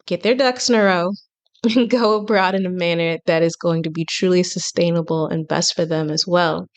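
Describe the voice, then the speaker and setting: A woman hosts a podcast with a chatty, upbeat delivery. She introduces her guest with plain enthusiasm and talks straight to her listeners. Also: mid-range voice. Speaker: podcast host.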